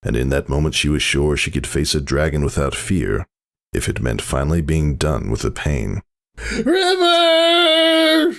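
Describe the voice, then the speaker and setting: A man giving a dramatic, theatrical story narration with intense, measured phrasing. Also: very deep voice, vocal fry.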